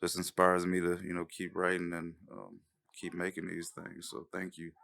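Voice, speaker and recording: A man giving a casual introduction as a writer-director, speaking in a hesitant, halting way. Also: low-pitched, completely flat delivery, gravelly, a bit bright. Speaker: writer-director presenting a recording.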